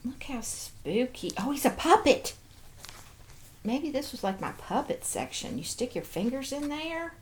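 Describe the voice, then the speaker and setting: A woman's voice: high-pitched, very animated, a little slow. A woman hosting a casual show-and-tell, chatting playfully and cooing as if to little toys and viewers. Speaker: host of a toy show-and-tell video.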